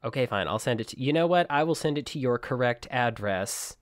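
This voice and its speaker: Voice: medium pitch, animated, brisk, no room sound, studio quality. A podcast co-host chatting casually about books. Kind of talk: casual book discussion chat.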